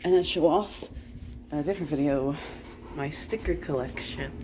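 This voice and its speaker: A man's voice: fairly high pitch, moderate pace. A man chatting casually to his vlog in a rambling, blunt way that slips into swearing. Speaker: vlogger. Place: bedroom at home. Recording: noisy home recording with clicks.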